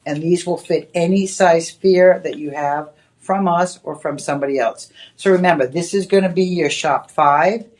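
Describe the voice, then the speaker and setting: A woman's voice: fairly low pitch, normal speed, darkish tone. Chatty Boston woman selling on TV. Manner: chatty, rambling, with many "you know"s. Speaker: home shopping host. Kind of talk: live on-air sales pitch. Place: TV shopping studio.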